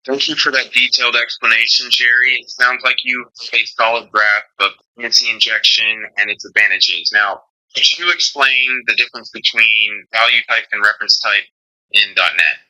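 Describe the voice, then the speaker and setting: A man's voice: mid-range voice, steady tone, normal speed, pushed and bright, rough recording. A synthetic male voice acting as a job interviewer, asking scripted questions in a formal, polite, encouraging way.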